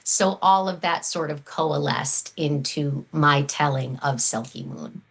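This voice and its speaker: A woman's voice: sits low, slow pace. A woman speaking as a guest author, explaining earnestly in full, flowing, articulate sentences. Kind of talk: explanatory interview talk.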